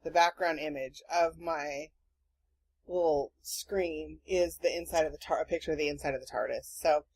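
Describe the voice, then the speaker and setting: A woman hosting a podcast in casual chat, telling rambling stories in an animated, sweary way. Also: low-pitched, normal speed, slightly rough. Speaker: podcast host.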